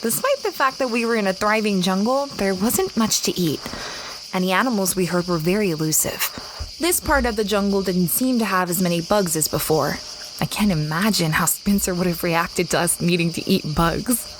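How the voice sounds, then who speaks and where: A woman's voice: medium pitch, animated, moderate pace, background noise, natural voice. A woman playing an adventurous young explorer who tells her story expressively in a first-person audio drama. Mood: wry, reflective. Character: adventurous young woman explorer recounting a strange expedition, fretful over her dog. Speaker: narrator and lead character. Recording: clear background ambience of jungle insects.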